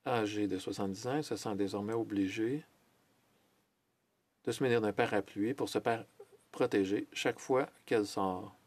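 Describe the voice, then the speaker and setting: Low-voiced man interpreting a formal speech. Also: a little mellow, no echo. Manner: measured, with hesitations and self-corrections. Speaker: French interpreter of a Senate speech.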